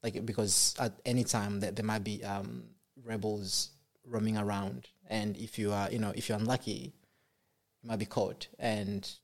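A young man from Congo, a podcast guest, talking in a casual interview, soft-spoken and halting, with many fillers and restarts. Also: fairly low pitch, vocal fry.

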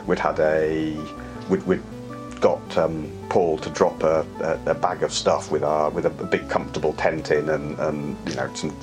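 A middle-aged man from the UK, an alpinist, telling climbing stories in a hesitant, reflective way with frequent restarts. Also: a bass voice. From United Kingdom. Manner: hesitant, many restarts and soft laughs. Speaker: alpinist guest. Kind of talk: reflective conversational storytelling.

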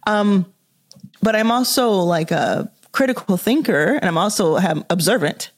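A woman's voice: mid-range voice, animated, a little slow. A woman hosting a podcast interview, thinking aloud in a casual, searching way with lots of "like" and "you know.". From Dallas, Texas, US. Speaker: podcast host.

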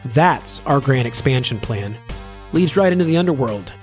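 A man's voice: mid-range voice, animated, normal speed, darkish tone, faint music behind, natural voice. A man voicing a playful, mocking, scarred demon-like man in a spoken story. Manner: teasing, sarcastic.

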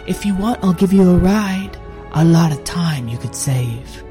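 A woman voicing a gentle, kind female unicorn in a children's story, speaking calmly and warmly. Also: on the low side, measured pace, soft background music. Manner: calm, friendly.

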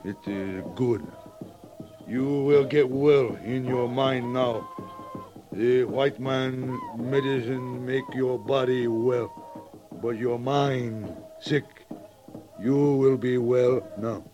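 A man's voice: medium pitch, fairly even intonation, takes it slowly, highs cut off, music in the background, lightly acted. An actor playing a stern, proud Sioux man in an old radio drama, solemn and speaking stilted broken English. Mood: stern, grave. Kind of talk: 1950s radio drama acting.

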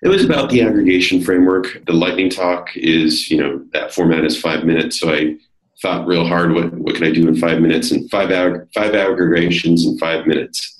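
A man with a slightly hoarse voice, a guest on a podcast, explaining technical work at an easy pace with many fillers. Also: low voice, normal speed, roomy sound, rough recording. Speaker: guest, developer advocate. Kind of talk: casual technical interview.

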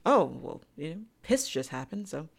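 Low creaky woman on a podcast, casual review chat, blunt and sweary, really into it. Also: sing-song, moderate pace, a little hiss or hum. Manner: frank, profane, enthusiastic.

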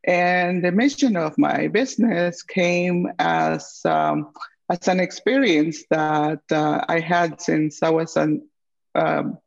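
Woman, 50s, gravelly, slow with fillers, muffled recording. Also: deep voice. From Guatemala. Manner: unhurried, many uh fillers and pauses. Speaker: guest, chef and entrepreneur. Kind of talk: interview answers. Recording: dull lo-fi audio.

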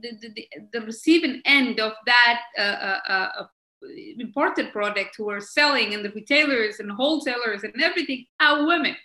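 A woman from Somalia, a guest in an interview, speaking emphatically and animatedly, halting often on fillers and breaking into laughter. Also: on the high side, pushed and bright, reverberant, low-quality recording. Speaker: guest, member of parliament. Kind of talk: conversational interview answers.

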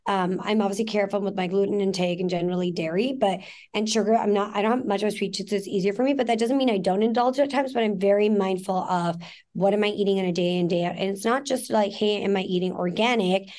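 A woman's voice: mid-range voice, fast, darkish tone. A woman in her forties who grew up in Pakistan, talking frankly and at length as a podcast guest, with plenty of fillers. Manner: frank, talks in long runs with fillers. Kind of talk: candid conversational interview.

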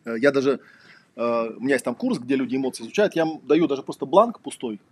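A man's voice: medium pitch, fast talker, darkish tone, a little hiss or hum. A man giving a casual lecture, talking conversationally with lots of fillers and rhetorical questions.

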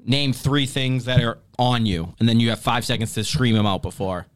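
A man's voice: medium pitch, moderate pace, clear, resonant tone. A young man co-hosting a podcast, bantering casually in a blunt, crude, joking way. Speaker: podcast host.

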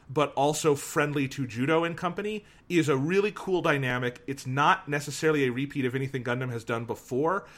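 A man co-hosting a casual review discussion, talking in an animated way and laughing as he tells a story. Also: medium pitch. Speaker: podcast co-host.

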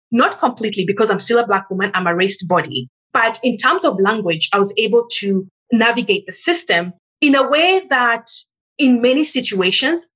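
A woman from Kenya, a researcher, giving a measured, reflective interview answer and building her points in lists. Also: on the high side, a bit bright, breathy edge, highs cut off.

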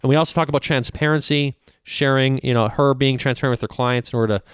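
A man's voice: medium pitch, steady tone, fast talker, smooth, dry recording, studio quality. A man from Canada hosting an interview podcast, friendly and rambling, with many fillers and restarts. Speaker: podcast host.

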